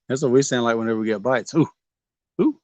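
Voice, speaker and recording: A man's voice: mid-range voice, brisk, a little mellow, no echo. A man, joking and chatting casually while night fishing on a lake, coaxing the fish aloud. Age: 40s. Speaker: fishing vlog host.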